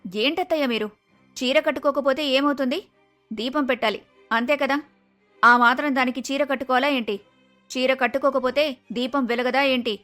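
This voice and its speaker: A woman playing an arrogant, lazy young daughter-in-law who talks back curtly in an animated moral story. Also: high-pitched, a little fast, long pauses, faint music behind. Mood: defiant. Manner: curt, dismissive, argumentative.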